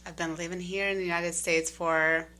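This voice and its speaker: Woman, 30s, from Ukraine, English, low pitch, bright tone, relaxed guest storytelling. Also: moderate pace. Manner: relaxed, many "um"s and "like"s, slightly non-native grammar. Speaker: interview guest. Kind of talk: casual conversational storytelling.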